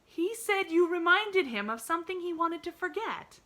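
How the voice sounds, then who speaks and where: A woman's voice: very high-pitched, expressive, normal speed, bright, forceful tone, pitched above the performer's own voice, heavily acted. A woman voicing a cheerful, earnest little girl who talks eagerly.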